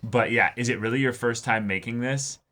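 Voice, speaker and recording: A man's voice: mid-range voice, fairly quick, a bit bright. A man co-hosting an anime reaction talk, rambling casually and acting out characters' lines.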